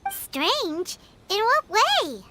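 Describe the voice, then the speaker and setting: Game sidekick: tiny childlike fairy, extremely high, swooping, blunt, cartoonish, music behind. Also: female voice, huge pitch swings, drawn-out words, background noise, heavily acted. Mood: puzzled, curious. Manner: squeaky, refers to self in third person. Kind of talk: cartoonish video game dialogue.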